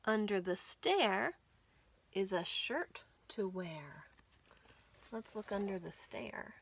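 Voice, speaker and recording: A woman hosting a children's storytime, reading picture books aloud in an expressive, sing-song way. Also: mid-range voice, slow, slight background noise. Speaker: children's library storytime host. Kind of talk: animated picture-book read-aloud for young children.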